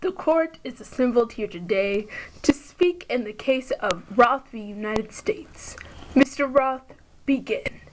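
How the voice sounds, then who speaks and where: A formal, brisk judge in an amateur puppet show, voiced by a young woman. The voice is fairly high and delivers scripted rulings in an official manner. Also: expressive, slight background noise, poor audio. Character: formal, brisk court judge presiding over case.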